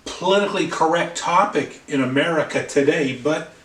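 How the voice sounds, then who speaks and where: A man's voice: a little high, moderate pace, fairly bright tone, reverberant, distant mic. A man hosting an interview show, talking conversationally and stumbling over words at times. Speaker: talk show host. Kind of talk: interview host.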